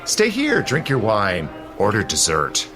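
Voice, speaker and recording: An actor playing a suave, teasing 19th-century gentleman in an audio drama, urbane and theatrical in manner. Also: lowish voice, wildly swooping pitch, a little slow, heavily acted. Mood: confiding, playful. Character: suave, teasing immortal gentleman protector, secretly seventy-two. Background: faint music.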